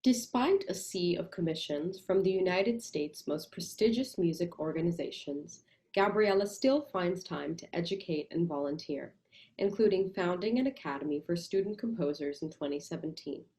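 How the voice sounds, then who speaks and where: A young adult woman, a mezzo-soprano doctoral student, giving a formal lecture in a measured, presenting manner. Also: fairly low pitch, mellow, rough recording. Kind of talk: lecture recital narration.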